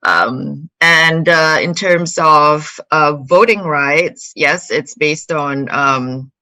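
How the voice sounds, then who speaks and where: A woman guest on an online panel talks in a bright, forward voice. She hesitates often and fills the gaps with ums while she looks for the right words. The sound is dry and close. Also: deep voice, extremely slow, no echo. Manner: hesitant, many fillers and searching for words. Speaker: panel guest, marketing head.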